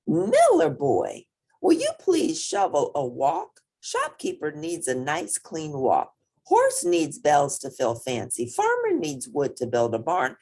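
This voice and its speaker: A woman voicing a small, earnest, anxious storybook mouse with a pleading, squeaky manner. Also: wildly swooping pitch, slow, dark tone, heavily acted.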